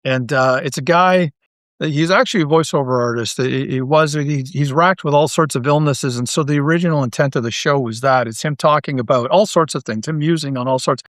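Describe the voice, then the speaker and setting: A man's voice: a little high, a little fast, a bit bright, no echo, clean studio sound. A man talking casually as a podcast guest, rambling along with enthusiasm. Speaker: podcast guest, audio drama creator. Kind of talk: casual interview chat.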